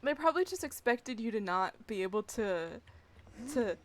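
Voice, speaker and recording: A woman's voice: a little high, lively intonation, moderate pace. A young American woman streaming a video game, chatting casually in a giggly, rambling, self-deprecating way. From United States. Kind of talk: casual gameplay commentary.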